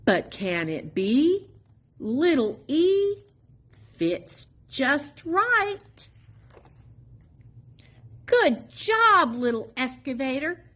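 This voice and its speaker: Very slow, animated woman reading a picture book. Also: high-pitched. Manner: sing-song, drawn-out, playful emphasis on sound words. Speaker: storybook reader at a public library. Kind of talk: expressive read-aloud of a children's picture book.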